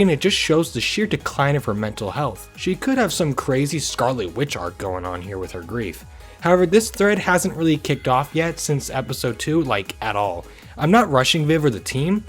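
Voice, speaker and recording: A man with a slightly high voice delivers casual video essay commentary. His intonation is very animated and his way of talking is informal and opinionated. Music plays faintly behind him. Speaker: YouTube reviewer.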